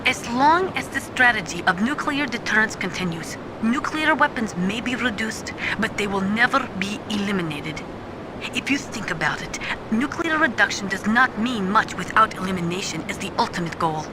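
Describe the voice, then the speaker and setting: An actress playing a grave, idealistic nuclear analyst from Ukraine in a video game, speaking solemnly and with measured care. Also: female voice, expressive, lightly acted. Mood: earnest, thoughtful. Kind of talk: video game dramatic monologue. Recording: noisy with rumble behind.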